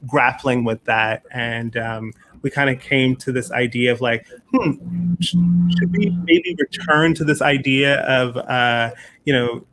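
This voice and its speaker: A man's voice: mid-range voice, steady tone, a little slow, a bit bright, low-quality recording. A man hosting a casual discussion as a festival producer, speaking with enthusiasm and emphatic repetition.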